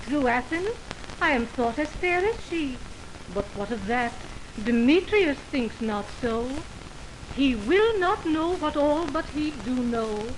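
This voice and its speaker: A woman's voice: high-pitched, lively intonation, takes it slowly. An actress playing a lovelorn, envious young woman, declaiming plaintively in a 1920s radio Shakespeare drama. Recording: noisy 1929 radio aircheck on film.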